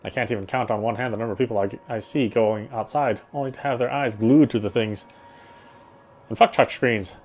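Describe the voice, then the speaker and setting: A man reads a visual novel aloud while playing it. His voice sits at an average male pitch. Faint music runs behind his narration. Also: steady tone, brisk, darkish tone. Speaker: let's player reading a game aloud.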